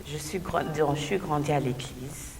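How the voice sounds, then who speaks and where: A woman's voice: sits low, moderate pace. A woman from Belgium, a gospel singer and interview guest, giving a personal testimony and often hesitating to find her words. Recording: distant mic, echoey hall, noisy background.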